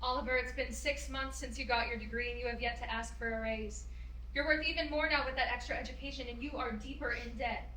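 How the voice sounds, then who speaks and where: A woman acts out an insistent young girlfriend in a stage play reading. Her voice is high and bright. It carries from a distance in a noisy, roomy recording. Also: fairly even intonation, fast talker. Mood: exasperated, insistent. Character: insistent, exasperated young nurse girlfriend pushing for ambition. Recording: roomy, distant mic, audible noise.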